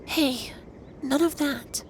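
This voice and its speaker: A man's voice: extremely high pitch, steady tone, full character voice. A man voicing a centuries-old, androgynous male dragon in an intimate ASMR roleplay, speaking formally and earnestly as a stern but caring lover. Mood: tender, reassuring. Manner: formal, earnest, deliberate phrasing. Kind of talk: ASMR roleplay, intimate scripted monologue addressed to listener. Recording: clear background noise with ambience.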